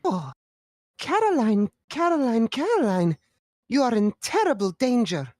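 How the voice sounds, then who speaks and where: A woman's voice: a little high, big pitch swings, a little slow, darkish tone, no room sound, clean studio sound. A woman playing a dotty, bossy elderly former actress in a screenplay table read, theatrical and scolding. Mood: ominous.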